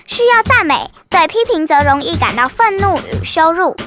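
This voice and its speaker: A bright, know-it-all little girl teases her friends and lays out facts, as a woman voices her for a children's cartoon. Her voice is very high and bounces widely, with clear music playing under it. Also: expressive, moderate pace. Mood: explaining. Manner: playful teasing, then explains like a lesson. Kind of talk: children's animated show dialogue.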